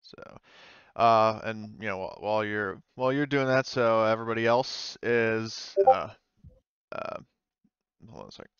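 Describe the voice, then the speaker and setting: A man running a tabletop game as game master over voice chat, narrating casually and haltingly as he thinks aloud. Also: lowish voice, clear, resonant tone, no echo, muffled recording.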